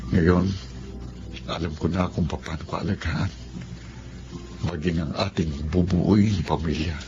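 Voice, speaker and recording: A man's voice: low voice, steady tone, unhurried, over loud music. A man playing an earnest, devoted lover in a Filipino radio drama, speaking sincerely. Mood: grateful, tender. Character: earnest, devoted man, grateful survivor and husband. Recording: dull, noisy radio drama recording.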